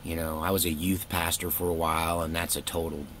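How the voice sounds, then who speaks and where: A middle-aged man with a fairly low voice tells stories casually, wandering from point to point. He is laid-back and wry, quick to poke fun at himself. Faint noise sits behind his voice. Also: little pitch movement, fairly bright tone. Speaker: storytelling vlogger, former youth pastor. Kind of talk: casual rambling personal storytelling.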